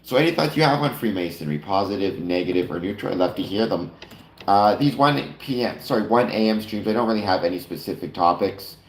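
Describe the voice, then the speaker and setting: A man's voice: fairly low pitch, animated, a little fast. A man hosting a casual late-night chat stream, talking to viewers with many fillers and self-corrections, a little nasal. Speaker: live stream host. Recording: roomy echo.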